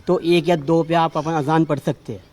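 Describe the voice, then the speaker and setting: A man's voice: a little high, steady tone, normal speed, a little mellow. A man with a western Uttar Pradesh accent explaining his view as a local resident in a street interview.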